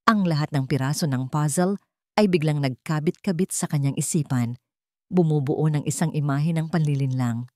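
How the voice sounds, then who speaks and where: Low-pitched woman narrating a dramatic story. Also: normal speed, a little mellow, no room sound, studio quality.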